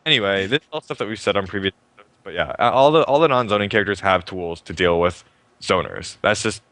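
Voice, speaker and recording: A young man hosting a live stream show, talking fast and upbeat about a fighting game and often restarting his sentences. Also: low-pitched, a bit bright, no echo. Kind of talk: casual game commentary.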